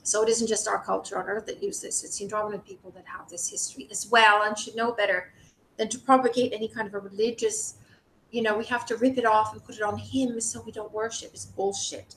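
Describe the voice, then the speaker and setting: A woman giving an informal, rambling spiritual monologue to the public, speaking emphatically and indignantly with some swearing. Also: fairly bright tone. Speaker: video creator speaking to the public. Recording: roomy echo, noisy low rumble.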